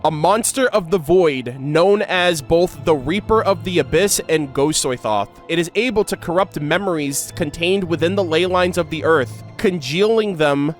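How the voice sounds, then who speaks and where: A man's voice: fairly high pitch, moderate pace. A man hosting game lore commentary as a streamer, speaking in an enthusiastic, conversational manner. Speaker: content creator hosting a game lore reaction. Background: background music.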